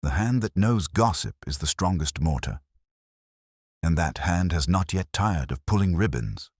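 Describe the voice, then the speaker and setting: Man voicing a deep, deliberate, shrewd police inspector. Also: expressive, breathy edge, dry recording, studio quality, full character voice. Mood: knowing, calm. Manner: murmuring, deliberate, aphoristic. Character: shrewd, softly spoken male police inspector, cryptic and philosophical. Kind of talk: storybook mystery dialogue.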